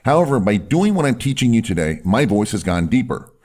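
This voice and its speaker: A man, a doctor, teaching viewers in an instructional video, emphatic and slowing down to drop his voice low on key words. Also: very animated, darkish tone. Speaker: doctor and health video host. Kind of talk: instructional video talk to camera.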